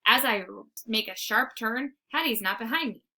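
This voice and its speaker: A woman reading a children's storybook aloud in an expressive, theatrical way. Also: on the high side, moderate pace. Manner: animated, theatrical. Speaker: storybook reader. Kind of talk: expressive read-aloud narration.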